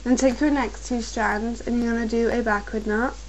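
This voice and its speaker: A woman's voice: on the high side, steady tone, a little hiss or hum, poor audio. Young woman with a cold explaining knots. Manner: patient, repetitive instructions, slow and careful at times. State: getting a cold. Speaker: crafts tutorial maker. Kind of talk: step-by-step craft instruction. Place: at a home desk with a computer.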